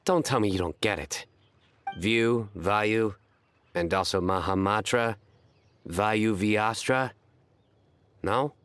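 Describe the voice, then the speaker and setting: A man voicing a solemn young man with a deadpan, deliberate delivery in a video game. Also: lowish voice, clear, resonant tone.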